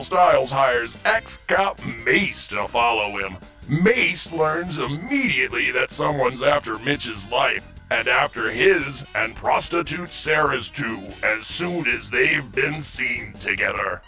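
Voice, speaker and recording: A man's voice: medium pitch, sharp tone. A man hosting a zany scripted quiz show in a theatrical, exclamatory way with sing-song catchphrases. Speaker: game show host.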